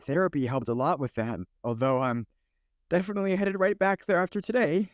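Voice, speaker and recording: A man playing a skeptical, bewildered office worker in his twenties in an audio play, halting and exasperated. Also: on the high side, dry recording, natural voice. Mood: awkward, sarcastic. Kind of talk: naturalistic audio play acting.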